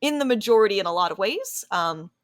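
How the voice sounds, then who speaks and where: A woman's voice: mid-range voice, lively intonation, quick pace, no echo, studio quality. An American woman chatting casually in an interview, thinking aloud in a hesitant, self-reflective way. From Delaware County, United States. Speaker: interviewee and interviewer, podcast cast member.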